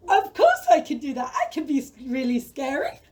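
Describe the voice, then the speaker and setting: A high-pitched, timid storybook dragon voiced by a woman in a community audio play. The creature speaks expressively and reasons earnestly, with a touch of indignation. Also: wildly swooping pitch, moderate pace, noisy background. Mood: boastful. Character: gentle, peace-loving, timid dragon reluctant to fight.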